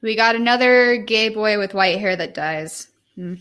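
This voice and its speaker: A woman co-hosting a podcast in casual chat, chiming in with quick, sweary comments. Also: medium pitch, a bit bright, smooth. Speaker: podcast co-host.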